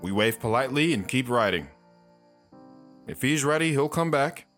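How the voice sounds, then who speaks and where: A man plays a philosophical, steady friend in an audio drama, giving counsel in a measured way. His medium-pitched voice has lively intonation, with faint music behind it. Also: close to an everyday voice. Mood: wistful, philosophical.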